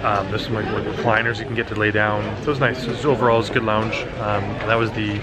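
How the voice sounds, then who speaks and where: A man's voice: on the low side, quick pace, over loud music. A man in his thirties vlogging casually from a plane cabin and airport, talking to a handheld camera with lots of fillers. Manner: chatty, relaxed, many fillers. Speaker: travel vlogger. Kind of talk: casual vlog commentary. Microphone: handheld camera at arm's length. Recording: loud background noise.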